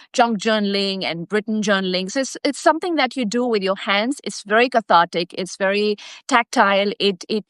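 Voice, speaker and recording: Female live stream host, Indian accent, medium pitch, emphatic personal storytelling, very little room sound. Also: a bit bright, dry recording, studio quality. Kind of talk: personal storytelling talk to viewers.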